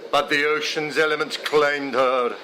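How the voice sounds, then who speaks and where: A man reading poetry aloud in a solemn, measured, dramatic way. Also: a little high, flat delivery, noisy background. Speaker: poetry reader. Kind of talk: expressive poetry recitation. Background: music.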